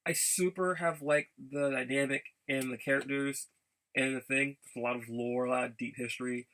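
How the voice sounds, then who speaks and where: A man giving a casual, rambling vlog update in a gravelly voice, haltingly and often repeating his phrases. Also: medium pitch, pushed and bright, rough recording. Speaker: video blogger.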